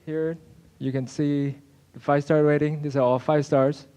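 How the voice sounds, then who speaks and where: A young man from Venezuela giving a class project presentation, halting with frequent fillers. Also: a little high, level tone, warm tone, smooth. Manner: halting, many uh fillers and restarts. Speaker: student presenter.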